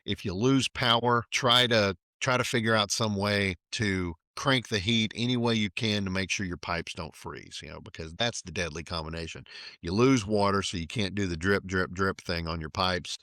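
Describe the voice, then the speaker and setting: Host, male; deep-set voice, punchy tone, wry conversational delivery. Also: normal speed, sharp tone, no room sound, studio quality. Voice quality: naturally low voice. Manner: tongue-in-cheek, conversational. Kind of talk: wry monologue.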